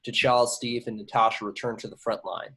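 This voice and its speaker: Medium-pitched man narrating a script. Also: flat delivery, brisk, a bit bright, husky. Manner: stumbles on invented place names. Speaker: action-line narrator. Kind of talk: reading screenplay action lines.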